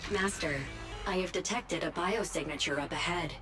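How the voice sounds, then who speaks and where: A woman voicing a polite, composed AI assistant in a game, formal and measured. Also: fairly low pitch, music in the background, natural voice. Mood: calm, formal. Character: polite, composed female AI assistant serving her master.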